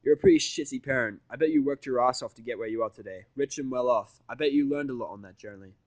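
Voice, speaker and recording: Defiant human protagonist voiced by a man, mid-pitched, dry close sound, brash sarcasm, confrontational fan audio drama. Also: fast talker, darkish tone, dry recording, natural voice, poor audio. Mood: scolding. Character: defiant foul-mouthed human man, bluffing and scolding.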